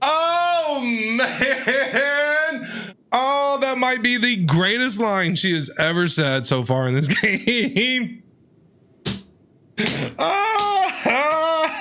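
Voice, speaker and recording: A woman streaming a video game, giving chatty, playful, expressive reactions in a casual style. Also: sits high.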